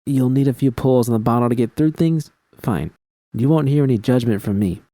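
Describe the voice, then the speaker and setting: A man's voice: mid-range voice, a little fast, dark tone, dry recording, voice pushed up, natural voice. A man voicing a tough, protective woman friend in an audiobook, blunt and streetwise. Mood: firm, concerned. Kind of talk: audiobook character dialogue.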